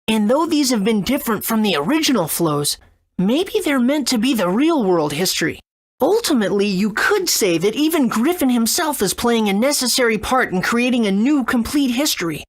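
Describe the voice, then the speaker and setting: A man's voice: very high-pitched, heavily acted. A man playing an eager, wide-eyed boy hero in a video game, youthful and earnest. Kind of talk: English video game voice acting.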